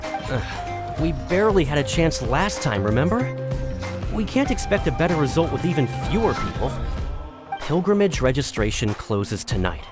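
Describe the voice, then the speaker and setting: A man's voice: a little high, very animated, moderate pace. Tired warrior guy in a game, regretful, very up and down delivery, music behind. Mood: weary, insistent. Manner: apologetic, reasoning. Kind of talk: English video game voice acting.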